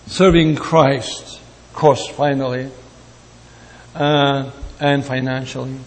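A man from Greece preaching a sermon in a deliberate way, repeating words for emphasis. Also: mid-range voice, fairly even intonation. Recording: long hall reverb.

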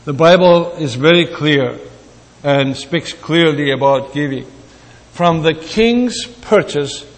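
A man from Greece preaching a sermon in a deliberate way, repeating words for emphasis. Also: on the high side. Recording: long hall reverb.

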